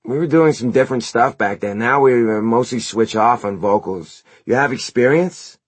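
Man voicing a goofy slacker garage-band teen, pitched up. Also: breathy edge, dry recording, natural voice. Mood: eager, casual. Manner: slangy, halting, full of "like" and "dude". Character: laid-back teenage garage-band guy, goofy slacker.

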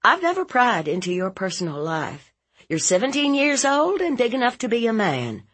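Woman playing a fierce scolding aunt, high and animated. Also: normal speed, slightly rough. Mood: exasperated, concerned. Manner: emphatic, interrogating, folksy and forceful. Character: fierce, scolding Southern aunt, blunt and protective.

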